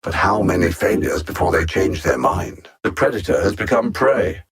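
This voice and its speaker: A man playing an arrogant alien android conqueror in a video game, speaking with deliberate, theatrical condescension and cold intellect in a husky voice. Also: low voice, expressive, a loud crowd. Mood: haughty disdain. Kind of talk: video game villain voice lines.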